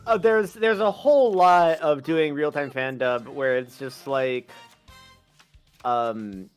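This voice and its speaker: Mid pitched fandub streamer chatting, blunt and emphatic, music behind. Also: slow, clear, resonant tone. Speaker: fandub voice performer on a livestream. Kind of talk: casual chat. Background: background music.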